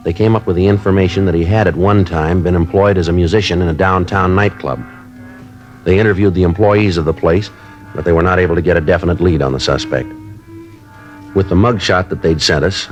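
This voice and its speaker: An actor playing a terse police sergeant, narrating a 1950s radio crime drama in a clipped, deadpan way. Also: male voice, deep voice, natural voice. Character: terse male police sergeant, matter-of-fact.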